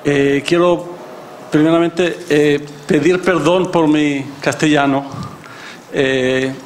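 Fifties man from Chile, guest speaker, slow, slightly high, hesitant. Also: fairly even intonation, slight background noise. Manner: halting, many fillers and restarts. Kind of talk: formal speech, partly improvised. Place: conference hall, seated at panel table. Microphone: gooseneck table mic.

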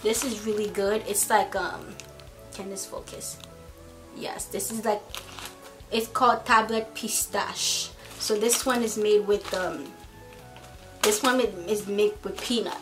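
Young woman, Haitian accent, casual bedroom vlog, chatty with soft asides, faint music behind. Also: mid-range voice, steady tone, slow. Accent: Haitian Creole. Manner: chatty, laughs easily, drops to soft asides. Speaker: YouTuber. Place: bedroom, indoors. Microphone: camera in front.

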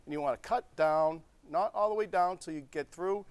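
A man's voice: fairly high pitch, moderate pace, clear, resonant tone. A man in his forties, a restaurant chef, walking through a recipe step by step in a TV studio kitchen, easygoing and checking in with "okay?". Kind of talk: cooking demonstration.

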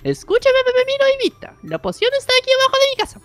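A woman voicing a secretive town adviser with potions in a game roleplay, hushing and instructing. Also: very high-pitched, huge pitch swings, quick pace, faint music behind, voice pushed up, exaggerated voice.

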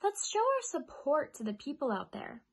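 Woman voicing a curious, high-pitched little storybook mouse. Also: sing-song, normal speed. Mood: encouraging.

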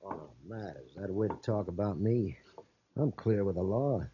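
An actor playing a mocking, threatening hired killer in a western radio drama. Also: male voice, low voice, moderate pace, slight background noise, lightly acted. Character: menacing, taunting outlaw gunman and hired killer. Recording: dull old radio recording.

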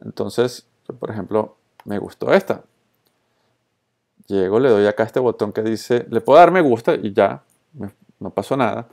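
A man's voice: lowish voice, moderate pace, vocal fry, a little mellow. A man from Colombia and Venezuela, with an accent from both, narrating a casual screencast tutorial in an informal way, mixing in English terms. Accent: Colombian-Venezuelan Spanish. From born in Colombia, raised in Venezuela. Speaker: social media tutorial presenter.

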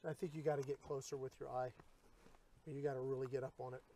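A middle-aged man hosting, explaining casually in relaxed talk at an outdoor shooting range, recorded on a camera mic outdoors. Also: mid-range voice, fast, a little hiss or hum. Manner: easygoing, explanatory. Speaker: host.

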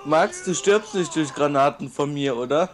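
A man's voice: fairly high pitch, normal speed, a little hiss or hum. A young man chatting casually with friends over an online game, chatty and self-mocking. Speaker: gamer. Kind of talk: casual gaming chat with friends.

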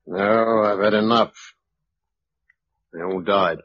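An actor playing a humbled, worn-out former leader in a radio drama, rueful and plain-spoken. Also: male voice, on the low side, steady tone, takes it slowly, fairly bright tone. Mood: rueful, defeated. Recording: dull, muffled radio recording.

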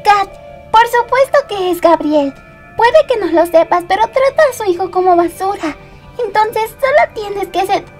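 A woman's voice: high-pitched, slow, a little mellow, faint music behind. A woman with a Latin American accent playing an earnest, anguished teenage superheroine in a comic fandub, speaking dramatically. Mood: insistent. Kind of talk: Spanish-language comic fandub acting.

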